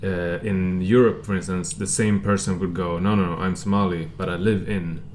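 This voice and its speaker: A man co-hosting a podcast in casual chat, explaining things in a halting, hesitant way. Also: deep voice, fairly even intonation, darkish tone, slight background noise. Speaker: podcast co-host.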